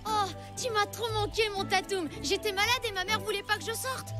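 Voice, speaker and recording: Une comédienne qui joue un enfant préhistorique vif, affectueux et vite exaspéré, de façon expressive, dans un dessin animé. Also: female voice, extremely high pitch, a little fast. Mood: affectionate. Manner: expressive, exclamatory, childlike. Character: lively young prehistoric child, affectionate and easily exasperated. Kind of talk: animated cartoon voice acting in French. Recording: music behind the voice.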